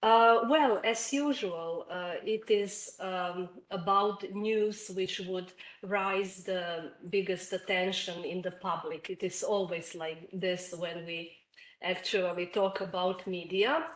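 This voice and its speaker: Woman professor, very slow and measured, echoey room, video call. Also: fairly low pitch, steady tone. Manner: measured, careful, with fillers. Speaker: professor and webinar mentor. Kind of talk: academic answer.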